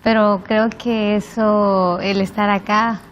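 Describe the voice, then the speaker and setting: A woman's voice: medium pitch, little pitch movement, slow pace, lo-fi sound, a little hiss or hum. A woman with a Guatemalan accent introducing herself as a guest participant on a panel. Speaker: guest participant, dental surgeon.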